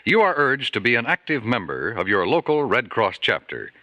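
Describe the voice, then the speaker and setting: A man's voice: mid-range voice, sing-song, moderate pace, bright, forceful tone, dry recording, highs cut off. An American man delivering a formal, emphatic scripted appeal as a vintage radio announcer.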